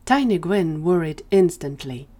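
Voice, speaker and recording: A woman's voice: lowish voice, animated, unhurried, dark tone. A woman reading scripted narration for an audio drama.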